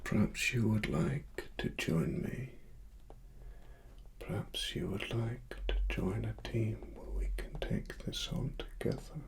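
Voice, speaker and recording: A man with a British accent speaking softly in an ASMR roleplay as a secretive cyberpunk investigator, breathy and deliberate, often near a whisper. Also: fairly low pitch. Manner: slow, deliberate, hushed. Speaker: ASMR performer.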